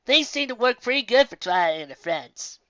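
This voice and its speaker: A woman's voice: on the high side, sing-song, brisk, pushed and bright, no room sound, highs cut off, pitched above the performer's own voice. A woman voicing a chatty old country woman from a storybook, folksy and rambling. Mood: warm, rambling. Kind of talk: storybook character voice.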